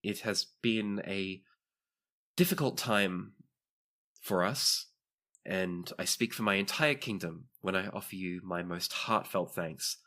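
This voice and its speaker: A man's voice: low voice, takes it slowly, bright, forceful tone, full character voice. A man voicing a gracious young princess in a tabletop game, sincere and formal in courtly phrasing. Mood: grateful, solemn.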